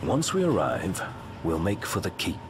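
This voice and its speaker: A man's voice: low-pitched, wildly swooping pitch, moderate pace, noisy background, heavily acted. A man playing an earnest, articulate strategist in a video game, formal and precise in his wording. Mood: earnest, measured. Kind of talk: video game drama acting. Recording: music behind the voice.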